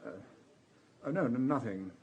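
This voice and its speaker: An actor playing an uneasy, evasive suspect in a radio drama, hesitant and guarded. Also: male voice, mid-range voice, expressive, moderate pace, a little mellow, slightly rough, lo-fi sound. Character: wealthy hotel guest, uneasy, evasive murder suspect.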